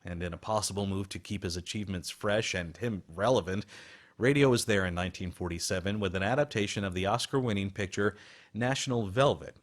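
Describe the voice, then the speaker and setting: Male host for radio documentary narration, lower register, scripted read.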